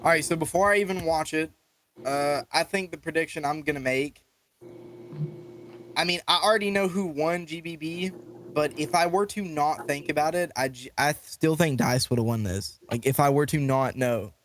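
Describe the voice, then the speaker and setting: A man co-hosting a podcast, chatting casually in a jokey, excitable way. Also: fairly high pitch, normal speed, a little hiss or hum. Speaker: podcast co-host. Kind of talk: casual chat.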